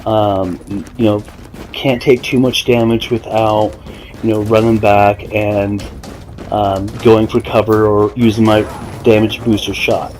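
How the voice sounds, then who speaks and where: A man's voice: lowish voice, flat delivery, measured pace, a little mellow. Casual, unpolished male gameplay commentator. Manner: hesitant, self-correcting, explanatory. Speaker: gaming video maker. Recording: voice over faint game music and gunfire.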